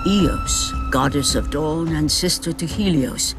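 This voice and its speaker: A man narrating a game cutscene in a slow, solemn, scripted style. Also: a little high, over music.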